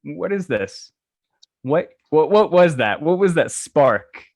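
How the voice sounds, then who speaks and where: A man hosting a podcast who asks his questions playfully, acting out an excited reaction. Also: a little high, slow, no echo, studio quality. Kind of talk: conversational interview question.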